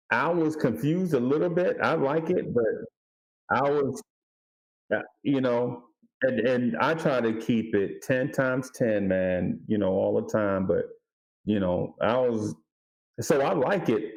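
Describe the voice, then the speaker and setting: A man with a middling pitch talks as a review panelist. His speech is casual and slangy, starting and stopping as he finds his way through an opinion. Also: rough recording. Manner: halting, peppered with "man" and "you know".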